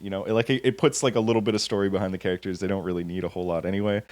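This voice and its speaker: A man co-hosting a casual chat show, quick to laugh and informal in the way he talks. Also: sits low, steady tone, a little mellow, dry recording. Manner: laughs easily and often. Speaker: podcast host. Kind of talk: casual chat about video game films.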